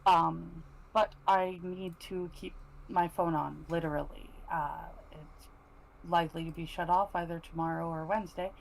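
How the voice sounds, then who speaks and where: A woman's voice: on the low side, steady tone, moderate pace, vocal fry, low-quality recording. A woman speaking casually as a co-host on a livestream. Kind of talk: casual chat.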